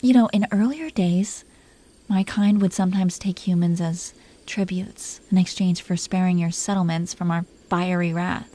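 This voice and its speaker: A woman playing an affectionate, possessive dragon queen in an intimate romantic roleplay audio, speaking softly and haltingly with a playful, teasing manner. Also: lowish voice, steady tone, lightly acted. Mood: possessive, softly menacing.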